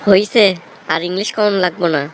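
A man's voice: sits high, steady tone, normal speed, close to an everyday voice, low-quality recording. A man playing a cocky, theatrical young jilted village lover in a comic cartoon, with a Bangladeshi accent. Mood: indignant. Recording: noticeable background noise.

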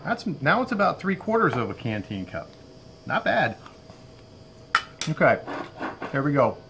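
A man in his fifties hosting a casual outdoor cooking demo, relaxed and chatty, often saying "all right", recorded on a camera mic on a patio. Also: fairly high pitch, unhurried, noisy background. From Florida. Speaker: outdoor cooking channel host. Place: outdoor covered patio at a table.

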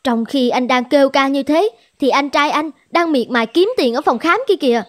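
A woman's voice: sits high, moderate pace, natural voice. A woman voicing a dissatisfied, nagging young wife in a cartoon drama, with a reproachful way of talking. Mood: exasperated, scolding. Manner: complaining, reproachful.

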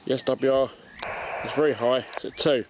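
A man's voice: medium pitch, steady tone, normal speed. A man in his forties with an English accent casually demonstrating gear as a video host. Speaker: amateur radio video host. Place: outdoors in a garden and on a street. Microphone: camera mic, arm's length or further. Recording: outdoor camera audio with background noise.

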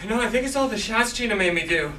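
A woman's voice: fairly high pitch, quick pace, a little hiss or hum. A woman playing a giddy, scatterbrained, over-caffeinated coffee shop trainee in a live comedy table read. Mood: sheepish. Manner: giddy, excitable, repetitive. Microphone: far camera microphone. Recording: distant echoey theater recording, audience.

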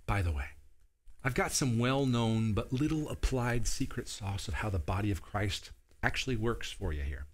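A man's voice: lowish voice, animated, normal speed. A man in his forties preaching at a table in a small studio. He explains his verses one by one in an earnest teaching tone and now and then checks that his listeners are following. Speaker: pastor. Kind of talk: sermon. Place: small studio set with a wooden wall. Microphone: seated at a table, camera at medium distance.

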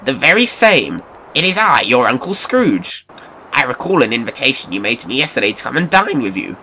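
An actor playing a reformed elderly miser, now a kindly and apologetic gentleman, with formal old-fashioned courtesy in an audio drama. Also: medium pitch, big pitch swings, a little fast, a little hiss or hum, low-quality recording. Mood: warm, repentant.

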